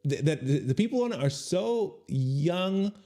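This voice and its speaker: A man's voice: high voice, animated, measured pace, dark tone. A man in his thirties, a comedian chatting casually with viewers on a livestream, rambling and self-deprecating as he reacts to chat. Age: 30s. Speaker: comedian streaming on Twitch. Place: small room at home. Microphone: studio mic on boom arm.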